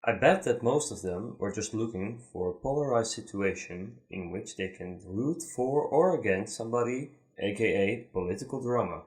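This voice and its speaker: A man's voice: lowish voice, expressive, moderate pace, few pauses, darkish tone. A man commenting on a video clip, rebutting it in an earnest, argumentative way.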